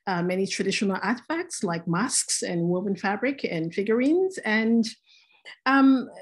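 A woman from Nigeria presenting her art practice on a video call, speaking with frequent hesitant fillers. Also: mid-range voice. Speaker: panelist artist.

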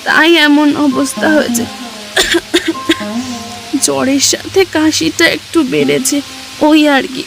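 A woman playing a gentle, anxious, ailing village wife and mother in a Bengali cartoon story. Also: high-pitched, fairly even intonation, slow pace, creaky, pauses often, faint music behind, heavily acted, rough recording. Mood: weakly reassuring.

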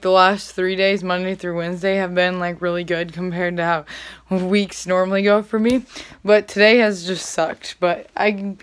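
A woman's voice: mid-range voice, clear, resonant tone. A teenage girl vlogging casually, rambling with lots of filler words, filmed on a phone at arm's length at home and in a car. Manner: chatty, rambling with many "like" fillers. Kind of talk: casual vlog chat.

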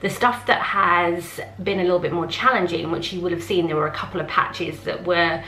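A woman's voice: fairly low pitch, fairly even intonation, brisk, pushed and bright, slight background noise. A woman in her thirties chatting casually to a close handheld camera in a large kitchen. She rambles and uses many fillers. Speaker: home renovation vlogger. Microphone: camera held close. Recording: echoey room.

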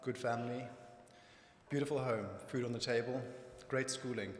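A middle-aged man with a Zimbabwean accent, reading his personal testimony from notes at a church lectern in a measured, earnest way. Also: mid-range voice, steady tone, slight background noise. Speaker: church member giving testimony. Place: church hall with raised stage. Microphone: lectern microphone. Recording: echoey hall from lectern mic.

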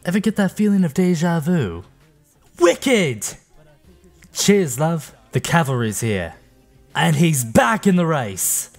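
A young man voicing high-pitched, perky male versions of game heroines. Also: big pitch swings, takes it slowly, creaky, soft background music, heavily acted. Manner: bright, perky, playful.

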